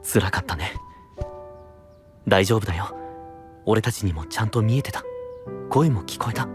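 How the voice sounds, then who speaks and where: A man playing a caring, earnest young knight and protective friend, gently reassuring, in a fantasy audio drama. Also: lowish voice, normal speed, music in the background, natural voice. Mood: soothing.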